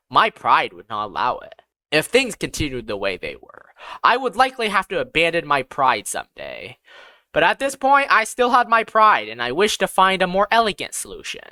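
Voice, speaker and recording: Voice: medium pitch, pushed and bright, no room sound. A narrator reading a first-person fantasy story aloud in a scripted audiobook style.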